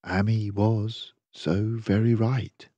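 Storyteller: older man, slightly husky and close-recorded, expressive, unhurried read-aloud for young children. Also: on the low side, no echo, muffled recording. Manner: measured, deliberate, rhyming delivery. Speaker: storyteller reading a children's picture book.

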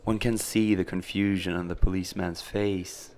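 A man narrating a scripted documentary story in a measured, deliberate manner. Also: low-pitched, flat delivery, a little mellow.

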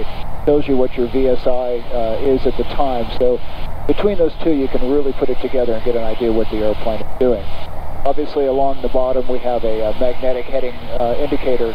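A man in his fifties walks through cockpit instruments in a calm, methodical way. He talks through a headset in flight, so the sound is muffled and dull. A steady engine roar sits clearly under his voice. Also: mid-range voice. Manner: technical, methodical, explanatory. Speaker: aviation magazine editor. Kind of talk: in-flight product review narration. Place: small aircraft cockpit in flight. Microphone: headset boom mic. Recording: muffled intercom audio with engine noise.

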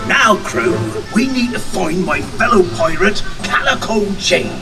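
An actor playing a jolly, boisterous pirate captain with hearty pirate bluster, heard through ride speakers from afar over music. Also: male voice, high voice, distant mic, heavily acted, rough recording.

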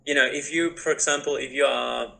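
Bright-voiced young man. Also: medium pitch, steady tone, creaky. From Spain. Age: young adult. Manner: halting, repeats and rephrases, frequent "like". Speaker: interviewee, business owner. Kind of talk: conversational interview answers over a call. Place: indoors in a home room. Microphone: laptop on a video call.

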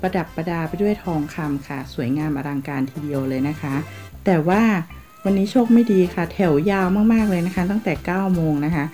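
A woman from Thailand narrating a casual travel vlog, polite and chatty, with soft endings on her sentences. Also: on the low side, fairly quick, faint music behind. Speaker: travel vlogger. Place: outdoors in a city, partly on a tram.

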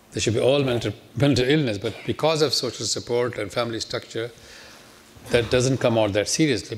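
Older Pakistani man at a podium. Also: on the low side. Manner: measured, listing points one by one with restarts. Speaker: panelist. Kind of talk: panel talk. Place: lecture hall. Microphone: podium microphone.

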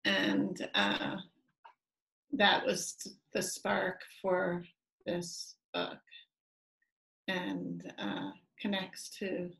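Slow, gravelly-voiced woman, roomy call. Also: mid-range voice. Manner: slow, hesitant, soft-spoken. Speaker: author reading her work. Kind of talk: reflective talk in an online reading. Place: home room on a video call.

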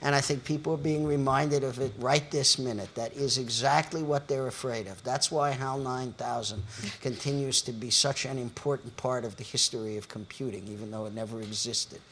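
A man's voice: medium pitch, fairly even intonation, moderate pace, a bit bright, slight background noise. An older man moderating a panel discussion in a lecture hall, speaking in long, articulate, lecturing sentences.

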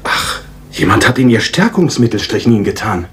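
An actor playing a detective's earnest, puzzled, plain-spoken companion in a radio crime drama. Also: male voice, fairly low pitch, sing-song, moderate pace.

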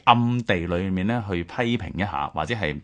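A man's voice: low-pitched, expressive, brisk, fairly bright tone. A man with a Hong Kong Cantonese accent co-hosting a radio programme, giving conversational analysis of history.

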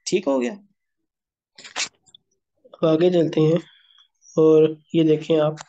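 Slow, mellow-voiced chemistry teacher, sore throat. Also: male voice, on the high side, flat delivery, highs cut off. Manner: explains step by step, addresses students as beta, mixes English terms into Hindi. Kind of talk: screen-recorded lesson working through problems. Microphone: voice-over on screen recording.

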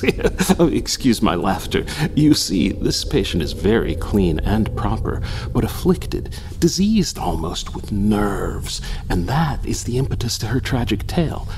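An actor playing an unctuous, eccentric asylum doctor in a gothic radio drama, speaking with formal, theatrical courtesy. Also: male voice, on the low side, sing-song, a little slow, background noise. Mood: excitable, fawning.

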